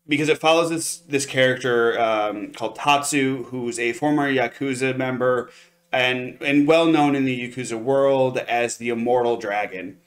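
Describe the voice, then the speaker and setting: Man, medium pitch, casual review chat, enthusiastic co-host, conversational and hedging delivery. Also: fairly bright tone. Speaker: podcast co-host reviewing anime.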